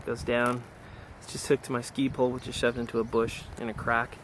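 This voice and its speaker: A man in a casual hands-on demonstration, talking in an unhurried, explanatory way as an amateur radio operator outdoors. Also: medium pitch, little pitch movement, fairly bright tone. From United States. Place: outdoors on a mountainside. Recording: noisy outdoor camera recording. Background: outdoor ambient noise.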